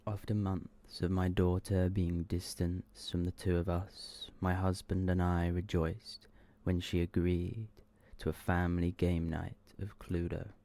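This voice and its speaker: Deep, hushed ASMR man reading horror. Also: level tone, a little slow, hardly any pauses. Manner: hushed, soft-spoken, often slipping toward whisper. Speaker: ASMR host reading short horror stories. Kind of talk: ASMR scripted narration.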